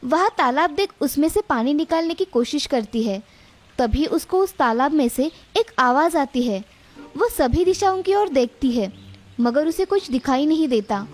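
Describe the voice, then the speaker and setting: A woman's voice: high-pitched, animated, a little slow, darkish tone. A woman narrator reading a scripted moral story for children.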